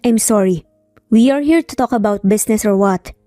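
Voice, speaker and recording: A woman puts on a curt, jealous young Filipino engineer for an audio love story. The delivery is terse and teasing in a mellow tone, close and dry over music. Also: medium pitch, moderate pace, dry recording, natural voice. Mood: irritated, jealous.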